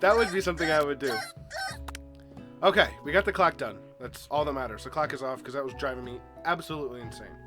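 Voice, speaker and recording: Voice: mid-range voice, quick pace, fairly bright tone, faint music behind. A let's-play host who sounds stuffed up from a cold, chatting casually through a game on a headset mic at home. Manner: chatty, reads on-screen text aloud with asides. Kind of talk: casual gameplay commentary. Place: home gaming setup.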